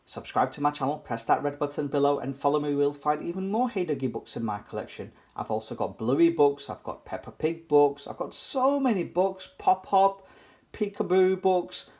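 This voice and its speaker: A children's book reader giving an animated, playful, sing-song read-aloud for toddlers. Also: medium pitch, normal speed.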